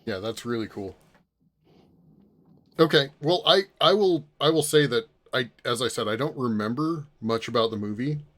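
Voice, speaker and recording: A man's voice: medium pitch, lively intonation, normal speed, a bit bright. A man co-hosting a podcast in a loose, casual chat with others. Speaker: podcast co-host.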